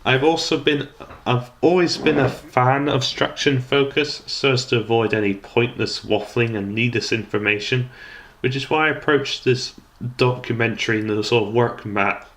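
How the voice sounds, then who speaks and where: A man's voice: medium pitch, a bit bright. A young man reading a scripted, reflective commentary in formal wording, stumbling now and then as he reads. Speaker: student documentary maker.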